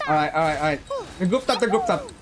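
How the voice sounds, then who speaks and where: A game streamer giving casual, excitable live commentary, often repeating short phrases. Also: mid-range voice, fast. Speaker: livestreamer playing a game.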